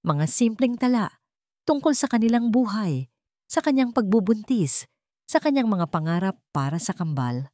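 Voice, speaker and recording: A woman reading a scripted, dramatic love story in a measured, solemn storytelling manner. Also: medium pitch, sing-song, dark tone, dry recording, clean studio sound. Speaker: story narrator.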